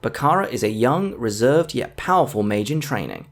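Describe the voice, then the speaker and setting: Guy doing a video essay film review, pretty animated delivery, bit of music behind him. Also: medium pitch, moderate pace. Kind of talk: scripted video essay narration. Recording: music under the voice. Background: background music.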